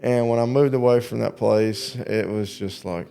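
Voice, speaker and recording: A man in his late thirties with a creaky, gravelly edge to his mid-pitched voice. He talks like a laid-back show host in casual conversation, folksy and loose with slang. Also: fairly even intonation, normal speed, mellow. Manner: folksy, slangy, says "dude" and "bro". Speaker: show host interviewing a guest. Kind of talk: casual chat.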